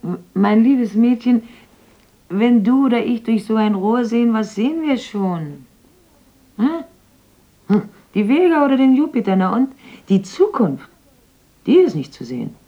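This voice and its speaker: An older widow who talks in rising and falling turns, warning of omens. The voice is dark and mellow, on a slightly dull old radio recording. She sounds wary and superstitious. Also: a little high, unhurried, long pauses. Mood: brooding. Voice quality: lively, questioning. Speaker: widow of a stargazer in a radio play. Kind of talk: radio drama acting.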